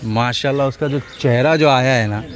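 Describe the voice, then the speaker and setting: A man's voice: mid-range voice, moderate pace, crowd noise. A middle-aged man casually touring a goat farm as a vlogger, admiring the animals and reading out weights and prices. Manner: admiring, repeats figures aloud, frequent exclamations of praise. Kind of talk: casual walkthrough chat. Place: outdoor goat farm sheds. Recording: outdoor farm noise with goats bleating. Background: goats bleating, farm ambience.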